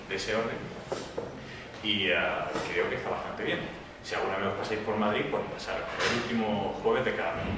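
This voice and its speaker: A man from Córdoba with a slight Andalusian accent, giving a casual programming talk to a meetup audience and checking in with them often. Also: fairly low pitch. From Córdoba, Spain. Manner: relaxed, conversational, frequent "vale?" check-ins. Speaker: tech meetup speaker. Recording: echoey room, distant mic, noisy.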